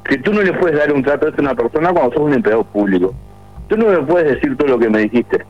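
A man's voice: medium pitch, expressive, fast talker. A man in his forties with a Uruguayan accent, calling a radio show to complain at length, with many restarts. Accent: Uruguayan Spanish. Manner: aggrieved, rambling with many restarts. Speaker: caller. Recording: muffled phone line on radio.

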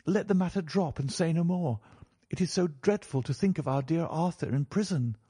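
A man voicing an earnest, gentle young lady with a polite, pleading manner in a storybook reading. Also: a little high, normal speed, husky, dry recording, clean studio sound, pitched above the performer's own voice.

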